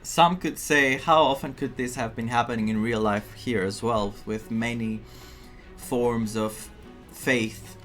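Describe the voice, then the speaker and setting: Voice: mid-range voice, steady tone, a little slow, a bit bright, soft background music. A game streamer giving casual, musing reactions in murmured asides while playing through a story.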